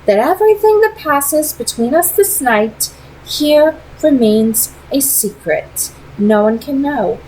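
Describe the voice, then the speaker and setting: A proud, secretive vampire woman, played by a female reader in an audiobook-style story. Her voice sits high and she speaks in formal, deliberate, dramatic phrases, coolly persuasive, over noticeable background noise. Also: animated, darkish tone, low-quality recording. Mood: stern, secretive.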